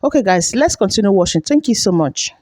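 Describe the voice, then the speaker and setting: A woman's voice: mid-range voice, animated, a little fast, darkish tone, no room sound. A woman hosting her channel, chatting casually and addressing viewers directly. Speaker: channel host. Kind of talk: casual vlog introduction.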